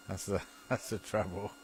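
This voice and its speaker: British man, lowish pitch, amateur radio hobbyist in casual technical chat, halting and repetitive. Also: steady tone. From Britain. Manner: hesitant, chuckling, repeats phrases.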